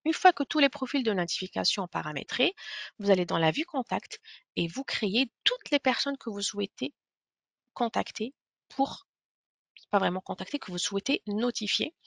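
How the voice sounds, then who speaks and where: Woman, webinar presenter, explanatory, hesitant pauses, creaky, dry close sound. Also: mid-range voice, lively intonation, dry recording. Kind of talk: software demo walkthrough.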